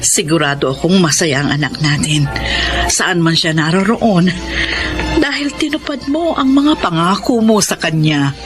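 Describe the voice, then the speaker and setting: A woman voicing a gentle, loving middle-aged wife in a radio drama, narrating her own life with heartfelt warmth, with music underneath. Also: fairly low pitch, animated, measured pace. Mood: tender.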